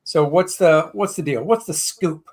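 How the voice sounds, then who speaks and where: A man's voice: on the high side, a little slow. A man hosting a casual interview show, chatty and encouraging, with joking asides to his guests. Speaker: show host.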